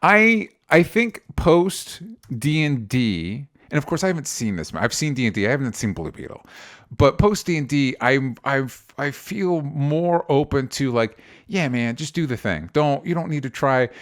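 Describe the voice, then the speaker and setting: A man chats as the host of a casual pop culture podcast. He speaks in an ordinary mid-range voice, often halting and doubling back on himself. The wording is loose and informal. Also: medium pitch, big pitch swings, a bit bright. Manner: rambling, halting, self-interrupting.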